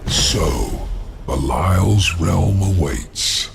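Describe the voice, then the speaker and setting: An actor voicing a powerful, scheming demon lord in a video game, with a measured, sardonic menace and smug amusement. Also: male voice, low voice, creaky, soft background music, full character voice. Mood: ominous briefing. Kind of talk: cinematic video game acting.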